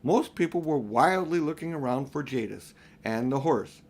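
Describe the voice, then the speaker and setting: An older man narrating a children's audiobook in a deliberate, phrase-by-phrase manner. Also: medium pitch, expressive. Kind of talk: scripted storybook narration.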